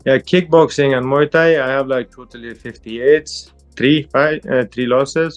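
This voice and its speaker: A young man whose first language is Pashto, answering interview questions in halting English and laughing often. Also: mid-range voice, highs cut off, faint music behind. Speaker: MMA fighter, interviewee.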